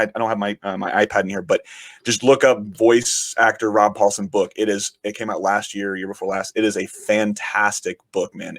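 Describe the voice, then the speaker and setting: A man, a guest on a podcast, with a somewhat low voice that is hoarse and raspy right now. He talks in loose, enthusiastic rambles and drops casual swear words. The sound is close and dry, with no room around it. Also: no room sound. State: raspy from shouting. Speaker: podcast guest and fellow podcast host. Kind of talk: casual conversational chat.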